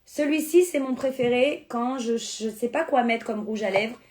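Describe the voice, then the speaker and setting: High-voiced chatty woman selling makeup. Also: moderate pace, a little mellow. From born in France, Spanish family. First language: Spanish. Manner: chatty, answers viewers' comments. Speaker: beauty product seller on a live stream. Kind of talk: casual live-stream sales chat.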